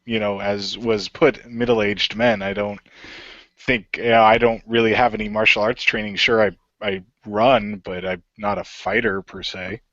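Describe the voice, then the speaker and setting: A man playing a mild, reasonable middle-aged man in a radio play, measured, tentative and understated. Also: on the low side, little pitch movement, fairly bright tone, no echo. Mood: hesitant, reasonable. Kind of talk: improvised radio play dialogue. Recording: dry, slightly dull close recording.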